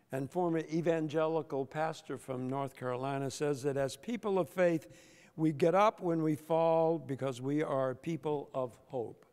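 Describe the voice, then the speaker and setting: An older man, a pastor, preaching a sermon from the pulpit with slow, emphatic delivery and deliberate pauses. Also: on the high side, gravelly, darkish tone. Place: large church sanctuary, at the pulpit. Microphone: pulpit microphone. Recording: long church reverb.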